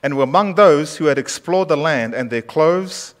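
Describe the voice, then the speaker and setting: A pastor in his thirties preaches to his congregation in a church sanctuary. His voice sits fairly high for a man, and he keeps the sermon loose and conversational, putting questions to the people in the pews. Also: animated, normal speed. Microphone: far camera at back of church.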